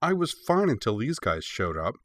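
A man voicing a young girl in a story, gentle with animals and fiercely defiant when crossed. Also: mid-range voice, sing-song, normal speed, no echo, pitched above the performer's own voice, natural voice. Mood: scornful, defiant. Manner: soft reassuring coaxing, scornful when crossed.

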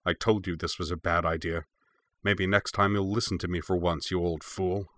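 Storybook male master, voiced by a man narrating: stern, irritable, scolding, bright and forceful. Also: sits low, level tone, moderate pace. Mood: snappish. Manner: snappish, scolding.

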